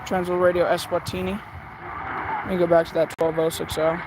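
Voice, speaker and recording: A man giving casual live commentary while tuning a radio, talking haltingly as if muttering to himself. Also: high-pitched, level tone. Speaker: shortwave radio livestream host. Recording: loud background radio static and noise.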